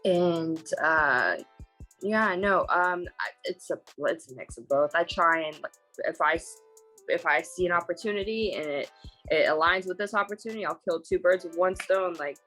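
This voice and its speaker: A woman's voice: fairly low pitch, normal speed, a bit bright, faint music behind. A woman in her twenties from Florida with a Southern accent, answering interview questions in a laid-back, hesitant way from a hotel room. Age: 20s. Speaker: interview guest.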